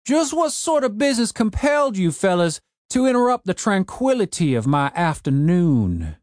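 Male reader as grumpy old rural man, gruff drawl, pitched up, slow. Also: big pitch swings, darkish tone, no echo, studio quality. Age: older. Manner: gruff, drawling backwoods grumbling. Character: cranky old rural man who keeps zombies.

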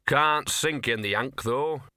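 Man doing a hoarse, drunken little talking street dog. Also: medium pitch, a little slow, sharp tone, dry recording, clean studio sound. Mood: gloomy. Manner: grumbling, self-pitying lecturing.

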